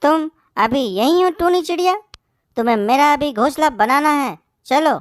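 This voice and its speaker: A woman's voice: high-pitched, expressive, moderate pace, dry recording, studio quality. A woman playing a bossy female bird in a cartoon story, insistent and emphatic. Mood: bossy, threatening. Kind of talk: Hindi cartoon story voice acting.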